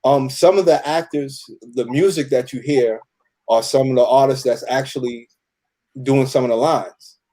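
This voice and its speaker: A man's voice: medium pitch, moderate pace, mellow. A man talking loosely and slangily as a guest in a casual group interview, often dropping in "you know". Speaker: audio producer and cast member being interviewed.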